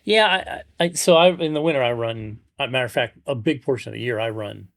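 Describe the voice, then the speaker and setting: A man's voice: medium pitch, fairly quick, creaky, fairly bright tone, no echo. A middle-aged man chatting casually as a podcast co-host, often repeating and restarting his phrases. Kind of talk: casual chat.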